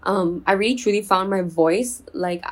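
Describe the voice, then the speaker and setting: Young woman from singapore chatting on her podcast, clear careful words, soothing voice, overshares a lot. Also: medium pitch, moderate pace. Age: 20s. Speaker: podcast host. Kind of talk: casual solo podcast chat.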